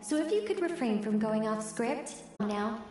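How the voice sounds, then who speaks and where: An actress playing a calm, polite but direct sorceress in a video game, her voice carried by an echoing magic effect. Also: on the high side, moderate pace, crowd noise. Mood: calm, instructive. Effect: magical echo over the voice. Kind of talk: scripted video game dialogue. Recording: long echo, music behind.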